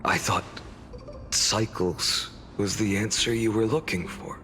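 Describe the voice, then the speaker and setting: An actor playing a calm, weary young male hero with a measured, wistful manner, in an anime-style video game. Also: lowish voice. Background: game music.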